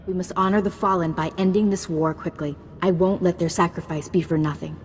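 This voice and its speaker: An actress playing an earnest, caring female Jedi ally in a video game, speaking in a formal, measured manner. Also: fairly low pitch, steady tone, a little hiss or hum, close to an everyday voice. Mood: solemn.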